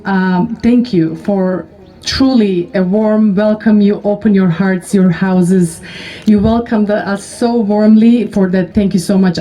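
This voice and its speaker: A woman's voice: mid-range voice, warm tone, poor audio. A young woman from Turkey speaking at a ceremony, thanking hosts and donors with many hesitant fillers. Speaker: charity founder. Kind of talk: ceremonial speech.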